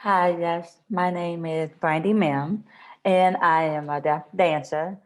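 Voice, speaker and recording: A woman's voice: lowish voice, fairly even intonation, slow pace. A woman introducing herself as a panelist, speaking emphatically and repeating her phrases for weight. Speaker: panelist, dancer.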